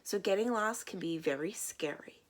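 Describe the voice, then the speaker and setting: Animated woman reading picture books aloud. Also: mid-range voice, normal speed. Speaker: librarian reading aloud to children. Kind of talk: children's picture book read-aloud and chat.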